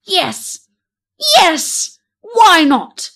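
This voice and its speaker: A woman voicing a tall, thin male skeleton in a storybook poem, theatrical, forceful and emphatic. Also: extremely high pitch, big pitch swings, very slow, vocal fry, voice pushed up, heavily acted. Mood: excited, yearning.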